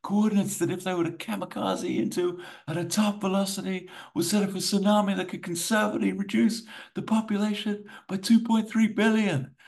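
A man's voice: high voice, a little mellow, voice pushed up. A man voicing an urgent, grave government agent who pleads solemnly in a raised, declamatory voice.

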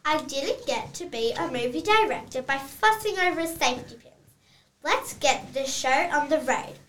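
A high, animated voice of an impatient movie director acted by a child. The delivery is slow and bossy, reading out a play in an echoey room. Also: slight background noise. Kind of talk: children's read-aloud play.

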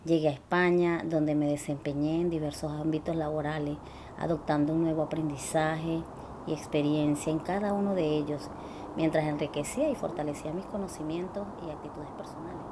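A young Venezuelan woman who speaks Spanish natively, reading her own life story in a measured, deliberate way. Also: low-pitched, little pitch movement, background noise. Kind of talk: reading a personal memoir.

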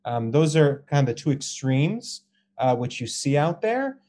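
Halting American man teaching a class. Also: on the high side, very animated, a little mellow. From Israel and Colorado, US. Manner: halting, hesitant delivery with frequent ums and pauses. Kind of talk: informal online lecture. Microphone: video call. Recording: Zoom call audio.

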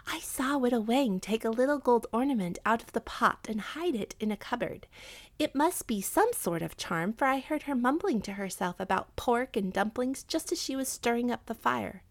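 A woman voicing a crafty, eager village wife in a storybook, with a brisk, scheming, emphatic delivery. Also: fairly high pitch, expressive, no echo, voice pushed up. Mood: secretive.

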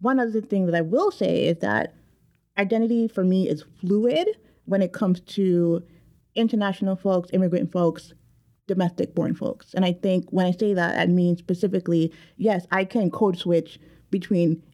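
A woman born in Guyana, speaking in a measured, earnest way about identity in a panel discussion. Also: on the low side, fairly even intonation, mellow. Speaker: panel guest. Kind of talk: reflective personal discussion.

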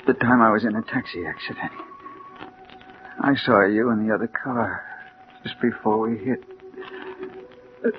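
A male actor in a 1940s radio drama playing a gravely wounded, frightened man with a halting, gasping, overwrought delivery. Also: on the low side, lightly acted. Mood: weary, resigned. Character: terrified, gravely wounded man facing Death. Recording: dull, noisy 1940s radio recording.

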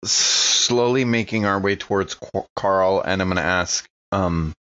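A man's voice: low voice, unhurried, sharp tone. A man who is a player in a tabletop game, talking casually and describing his character's actions, on a somewhat lo-fi microphone.

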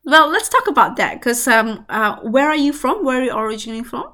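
A woman's voice: high-pitched, sing-song, brisk, sharp tone. A woman from Malaysia hosting a podcast, supportive, asking long winding questions. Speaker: podcast host. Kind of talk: interview hosting.

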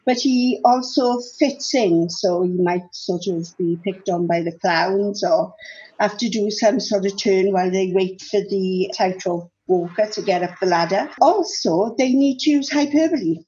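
A woman's voice: mid-range voice, a little slow, dark tone, muffled recording. A woman, hosting a podcast and putting questions to her guests in an organised, friendly way. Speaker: podcast host.

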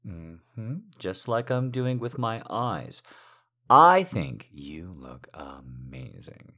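A very low-pitched, silver-tongued male lover, acted by a man in an intimate audio roleplay. He speaks slowly and seductively, in flowery phrases that slip into breathy murmurs, adoring yet teasing and gently in command. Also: very animated, full character voice.

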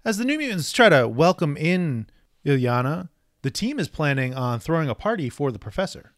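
A man's voice: a little high, sing-song, normal speed, no echo, clean studio sound. A man co-hosting a podcast, casually recapping a comic book and narrating the panels. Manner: narrates the panels and reads dialogue aloud. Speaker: podcast co-host.

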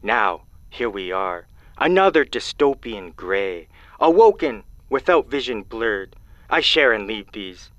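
Theatrical aggrieved poet, very animated pitch, forceful bright voice, slangy, dry slightly dull recording, audio drama. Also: male voice, takes it slowly, no room sound, full character voice. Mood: melodramatic. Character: flamboyant free-thinking amateur poet caller, aggrieved male.

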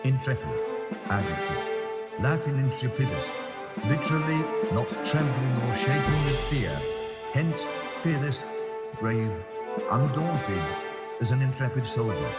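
A solemn dictionary reader for a radio play, slow n declamatory, huge echo, loud music. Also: male voice, mid-range voice, sing-song. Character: solemn reciter of dictionary definitions. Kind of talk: dramatic dictionary-style recitation. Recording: long hall reverb under loud music.

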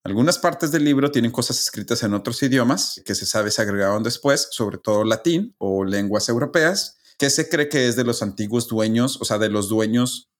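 A man's voice: medium pitch, animated, normal speed, few pauses. A man, hosting a casual podcast and methodically explaining facts step by step, often restarting his phrases. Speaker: podcast host.

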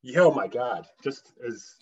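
Slightly dull, lo-fi remote audio carries a man talking as a podcast guest. He is informal and laughs easily, with a mid-pitched voice. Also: animated, unhurried, husky. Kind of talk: casual chat. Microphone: USB microphone on remote call.

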